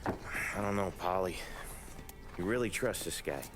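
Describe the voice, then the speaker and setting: Gruff american mob heavy, low voice, deadpan n short, game cutscene with music. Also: male voice, measured pace. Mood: wary. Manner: curt, deadpan. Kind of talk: video game cutscene acting. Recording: music behind the voice.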